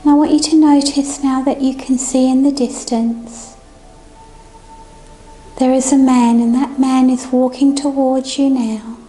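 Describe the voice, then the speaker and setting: Female meditation guide, gentle and soothing, high and mellow, over music. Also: little pitch movement, rough recording. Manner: gentle, soothing, unhurried instructions.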